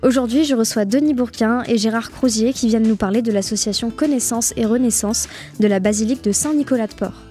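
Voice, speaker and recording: A woman's voice: fairly high pitch, a little fast, over music. A woman hosting a community radio interview show, opening with a polished introduction and asking her guests questions. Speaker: radio host. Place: radio studio.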